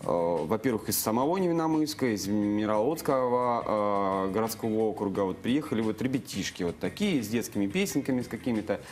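A man's voice: on the low side, lively intonation, fairly quick, hardly any pauses. A man in his thirties, a guest in a TV studio, chatting casually with many fillers and restarts on a lapel mic. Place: TV news studio.

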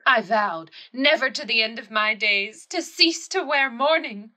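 Melodramatic young widow, high-pitched, slow-paced, imperious, declamatory, tragic, audio drama. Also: female voice, animated, pushed and bright. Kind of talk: theatrical audio play acting.

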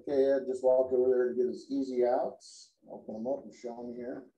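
A man's voice: mid-range voice, little pitch movement, fairly quick, mellow, muffled recording. A man hosting a woodworking show, explaining step by step as he works in the shop. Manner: explains step by step while working. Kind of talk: casual workshop demonstration. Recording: echoey workshop.

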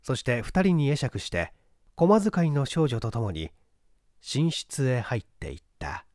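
A man's voice: medium pitch, very animated, measured pace, darkish tone, no room sound, clean studio sound. A man reading mystery fiction aloud as a dramatic literary narration. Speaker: audiobook reader.

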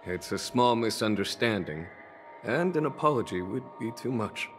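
An actor playing a composed, commanding general in a video game, formal and diplomatic in manner. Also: male voice, on the low side, lively intonation, normal speed, soft background music, natural voice. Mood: amused, courteous.

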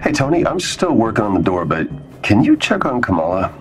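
An awkward, earnest scientist in a game cutscene. He talks haltingly in a lowish but varied voice, with faint music underneath. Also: sing-song. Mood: concerned, awkward. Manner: hesitant, halting. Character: awkward, mild-mannered male scientist, hesitant and earnest. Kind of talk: video game cutscene acting.

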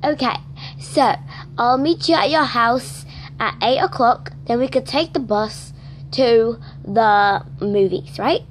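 A woman's voice: on the high side, takes it slowly, a little hiss or hum. A woman voicing a bossy girl doll in a put-on British accent, pushing her friend around. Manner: pushy, demanding.

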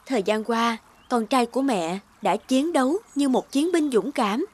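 High-voiced, loving, grieving cartoon mother played by a woman. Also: expressive, normal speed, breathy edge. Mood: tender sorrow. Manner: tender, emotional, pleading. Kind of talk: cartoon drama dub. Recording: loud music bed behind the voice. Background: music.

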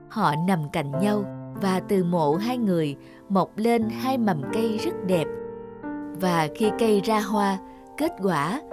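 Woman narrating a story over music. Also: mid-range voice.

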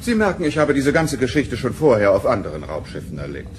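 An actor playing an arrogant, impatient starship propulsion expert in an audio drama, with a condescending, haughty manner. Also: male voice, medium pitch, huge pitch swings, brisk, soft background music. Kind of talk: German audio drama acting. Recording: noisy 1990 cassette radio play.

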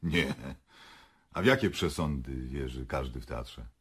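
An actor playing a reserved, skeptical prosecutor in a radio play, questioning in a dry, guarded way. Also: male voice, extremely low pitch, a little slow, noisy background. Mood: inquisitive, dry. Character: reserved, skeptical male public prosecutor on a murder case.

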